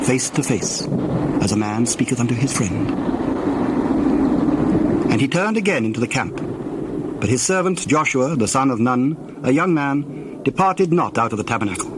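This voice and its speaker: A male narrator reads scripture as a scripted drama, solemn and measured. His voice has a middling pitch, and there is clear background noise. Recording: noisy background ambience.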